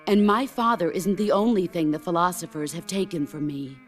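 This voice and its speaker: An actress playing a stoic, battle-hardened middle-aged soldier and mentor in a game cutscene, speaking gravely and deliberately. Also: female voice, lowish voice, natural voice. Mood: solemn, pained. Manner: measured, deliberate, grave delivery. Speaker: video game character. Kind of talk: dramatic cutscene monologue. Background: orchestral music.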